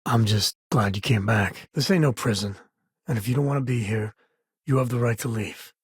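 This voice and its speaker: Husky, wry American space cowboy. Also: male voice, mid-range voice, moderate pace, no echo, studio quality. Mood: sincere, then exasperated. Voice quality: husky, grainy. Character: easygoing wry space cowboy, devoted father, adult man. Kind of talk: video game character dialogue.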